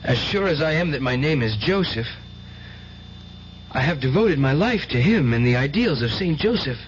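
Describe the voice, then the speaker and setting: Fervent, troubled young male seer played by an actor, highish expressive voice, vintage radio drama. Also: normal speed, close to an everyday voice. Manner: earnest, intense, dramatic. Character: fervent, tormented young male psychic nephew, devoutly religious. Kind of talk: old-time radio drama acting. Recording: dull, hissy old radio recording.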